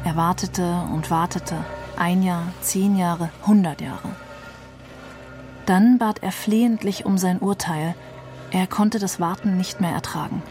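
A woman's voice: fairly low pitch, fairly even intonation, measured pace, a little mellow. A woman reading literary prose as scripted narration. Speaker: reader of literary excerpts. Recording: prominent music behind the voice. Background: music.

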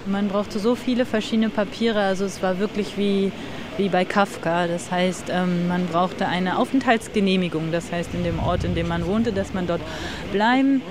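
A woman's voice: medium pitch, normal speed, crowd noise. A woman giving a spontaneous explanation, searching for words and correcting herself as she goes.

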